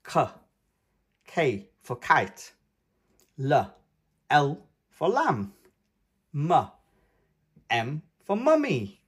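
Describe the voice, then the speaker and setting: A man's voice: on the high side, extremely slow, pauses often, breathy edge. A man hosting a children's read-aloud, speaking in an emphatic, sing-song way for toddlers. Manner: animated, emphatic, sing-song delivery of letters and words.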